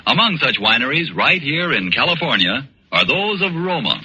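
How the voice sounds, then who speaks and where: A man's voice: mid-range voice, sing-song, moderate pace, pushed and bright. A man announcing a 1940s radio commercial with a polished, emphatic sales delivery. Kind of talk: 1940s radio advertisement read. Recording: muffled vintage 1940s radio recording.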